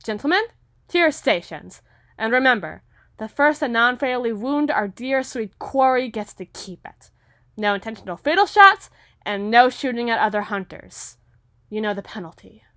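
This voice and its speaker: Woman playing a theatrical predatory huntress, swooping high voice. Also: expressive, a bit bright, no room sound, clean studio sound. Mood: commanding, menacing. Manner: theatrical, formal, drawn-out emphatic phrasing. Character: predatory aristocratic huntress, theatrical, condescending, coolly possessive. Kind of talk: dramatic audio roleplay.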